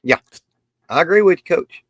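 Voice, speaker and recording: A man's voice: on the high side, expressive, moderate pace, husky, no room sound. A man chatting casually as co-host on a college football show. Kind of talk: casual sports chat.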